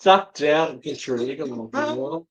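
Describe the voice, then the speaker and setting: A woman's voice: deep voice, moderate pace, husky. A woman co-hosting a live show, chatting casually with dry wisecracks. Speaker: co-host of a paranormal live show. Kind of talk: casual, bantering chat.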